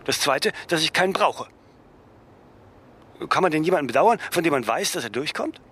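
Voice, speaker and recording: An actor playing a cool, ironic man in a radio drama, speaking in a halting, reflective way with questioning tags. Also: a little high, lively intonation, a bit bright, breathy edge. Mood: reflective, grieving. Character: cool, self-absorbed, ironic man in conversation, grieving his mother.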